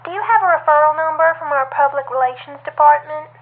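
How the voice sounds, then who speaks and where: An actress playing a polite, brisk, unhelpful corporate receptionist in an audio drama, heard through a telephone filter. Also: female voice, high voice. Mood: polite, businesslike. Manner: polite, scripted customer-service formality. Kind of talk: audio drama acting, phone call. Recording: thin narrow telephone line.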